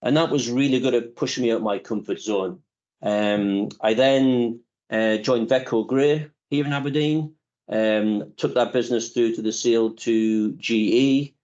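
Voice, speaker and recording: A man in his fifties, a company chief executive, answering interview questions in a measured, reflective way with frequent pauses. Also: medium pitch, darkish tone, muffled recording.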